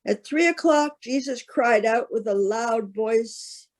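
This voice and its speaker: A woman's voice: on the high side, slow. A woman reading a gospel passage aloud as scripted narration. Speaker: scripture reader.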